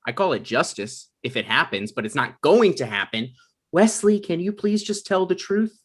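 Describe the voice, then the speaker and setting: An actor at a comedy table read playing a petty, self-important production coordinator, dry and argumentative. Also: male voice, fairly high pitch, very animated, moderate pace, husky. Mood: defensive.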